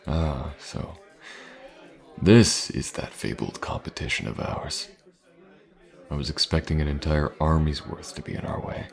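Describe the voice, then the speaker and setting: An actor playing a gruff, wary, self-assured mercenary swordsman in a fantasy audio roleplay, speaking in a dry, terse way. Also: a bass voice, expressive, measured pace, long pauses, exaggerated voice. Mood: skeptical.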